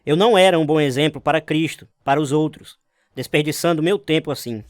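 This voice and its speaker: A man's voice: a little high, a little fast, dry recording, clean studio sound, lightly acted. An actor playing an earnest, devout young Navy man telling his life story in a radio drama. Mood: reflective. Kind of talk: radio drama narration with acted dialogue.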